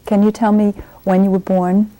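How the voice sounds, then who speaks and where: A woman interviewer asking formal questions in a slow, measured way. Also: medium pitch, little pitch movement, dark tone, rough recording. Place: home interview.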